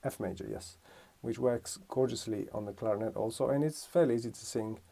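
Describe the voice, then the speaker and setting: A man's voice: mid-range voice, brisk, vocal fry, mellow. A man from Romania giving a casual music lesson, thinking aloud and correcting himself as he explains. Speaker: music teacher.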